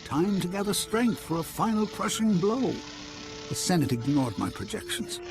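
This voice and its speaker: A bitter, proud scientist holds forth in a game cutscene with loud music behind. The voice is fairly high and swoops emphatically, full of hawkish opinion. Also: male voice, big pitch swings, moderate pace. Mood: bitter, indignant. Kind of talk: video game cutscene acting.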